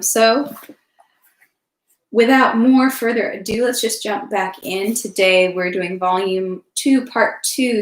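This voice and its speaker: Woman, medium pitch, informal livestream reader, casual delivery, echoey room sound. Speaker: livestream host reading a novel aloud. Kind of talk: casual livestream chat and storybook read-aloud.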